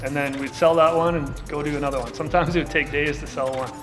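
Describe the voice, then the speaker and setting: A man's voice: fairly high pitch, quick pace. A middle-aged man from Canada speaking casually in a documentary interview, with lots of filler words. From Saskatchewan, Canada. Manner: relaxed and casual, with many filler likes. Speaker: co-founder and designer. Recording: interview with music playing underneath.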